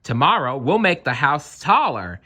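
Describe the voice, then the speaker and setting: A man's voice: on the high side, big pitch swings, a little slow, bright, forceful tone, pitched above the performer's own voice, full character voice. A man voicing a cruel, boastful storybook circus clown who gloats with glee. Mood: gleeful gloating.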